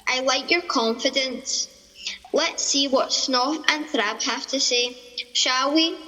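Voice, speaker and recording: A woman's voice: high-pitched, takes it slowly, sharp tone, in a large hall, exaggerated voice, rough recording. A woman playing a polite, chirpy contest host in a radio comedy spoof. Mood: chirpy, presenting. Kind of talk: radio comedy play acting.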